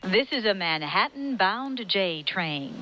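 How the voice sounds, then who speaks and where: A woman announces trains slowly, with a lilting, varied pitch. Her delivery is formal and broken into measured phrases. The recording has faint noise behind it. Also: big pitch swings, sharp tone, poor audio. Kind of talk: scripted transit announcement.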